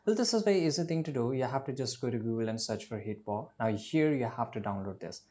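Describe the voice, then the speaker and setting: A man presenting a casual step-by-step software how-to, instructional and often checking in with a tag question. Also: mid-range voice, lively intonation, quick pace. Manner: instructional, often tags sentences with "right?". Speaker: tutorial presenter.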